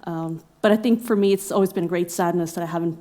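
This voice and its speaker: A woman's voice: lowish voice, fairly even intonation, quick pace, warm tone. Reflective young woman telling her story. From Norway. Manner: reflective, many fillers, laughs often. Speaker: exhibiting artist guest. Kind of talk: casual podcast conversation.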